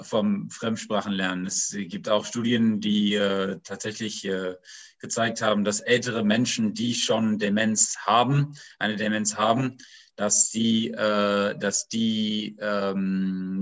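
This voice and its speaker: A man with a fairly low voice asks questions after a talk. He speaks very slowly, halting often and restarting his sentences as he searches for words. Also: fairly even intonation, a bit bright. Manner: halting, full of fillers and restarts. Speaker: audience member asking questions. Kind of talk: conversational questions.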